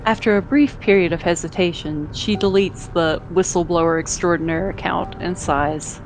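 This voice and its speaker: A woman's voice: lowish voice, fairly even intonation, moderate pace, slight background noise, natural voice, poor audio. A woman reading scripted second-person narration as the narrator of an amateur story dub.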